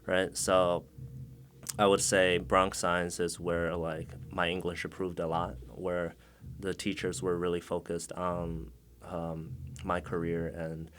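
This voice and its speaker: Low-voiced young man from China. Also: slow, a little hiss or hum. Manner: relaxed, many fillers, laughs often. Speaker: guest. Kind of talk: casual interview answers.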